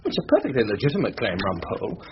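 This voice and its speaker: Pedantic English barrister, quick and animated, prim radio drama delivery, dull recording. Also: male voice, on the low side, gravelly, background noise, full character voice. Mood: prim insistence. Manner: prim, self-satisfied.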